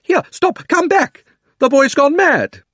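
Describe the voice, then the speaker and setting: Guy putting on a pompous greedy old gent, sixties, shrill and gloating, swings way up high. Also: huge pitch swings, normal speed, dry recording. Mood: alarmed. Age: 60s. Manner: blustering, gloating, shrill exclamations. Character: pompous greedy elderly gentleman magician, excitable.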